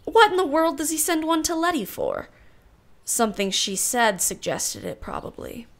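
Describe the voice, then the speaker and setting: A proud, genteel aunt from a period radio drama. Her voice sits a little high, and she speaks formally and precisely, with an arch, wry edge. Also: fairly bright tone, full character voice. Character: proud, wry, refined aunt, secretly jealous. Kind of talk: period radio play acting.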